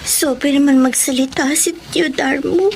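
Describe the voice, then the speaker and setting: A woman's voice: high voice, fairly even intonation, a little slow, music in the background. A woman playing a respectful, plaintive young blind granddaughter in a Filipino radio drama, with a Filipino accent. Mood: hurt, tearful. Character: blind, hurt but devoted young granddaughter, a student.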